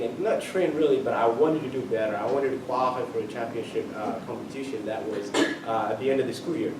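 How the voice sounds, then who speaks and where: Young man telling stories in a hall. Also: mid-range voice. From Ethiopia. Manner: joking, teasing the audience with tall claims. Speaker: student storyteller. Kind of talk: personal storytelling to a live audience. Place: high school auditorium. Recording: echoey hall from far off, noisy.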